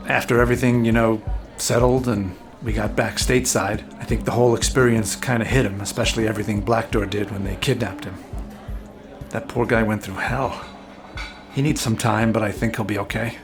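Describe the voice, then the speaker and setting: A man's voice: lowish voice, fairly even intonation, a little fast, lightly acted. An actor playing a loyal, slightly awkward everyman in a naturalistic audio drama, speaking informally and wryly with some hesitation. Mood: earnest, worried. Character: warm, awkward, loyal everyman boat owner, male. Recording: loud music bed behind voice.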